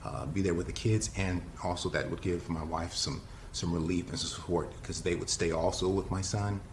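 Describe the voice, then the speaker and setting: Deep-voiced father, halting and thoughtful. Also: fairly even intonation, a little hiss or hum. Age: 40s. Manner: halting, thoughtful, with many pauses. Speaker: father sharing testimony. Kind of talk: personal testimonial interview.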